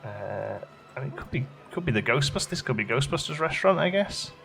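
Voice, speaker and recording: A man's voice: medium pitch, big pitch swings, brisk, creaky, a bit bright, faint music behind. A man giving casual gameplay commentary, chatting and musing aloud as he questions his own choices, as a let's play host.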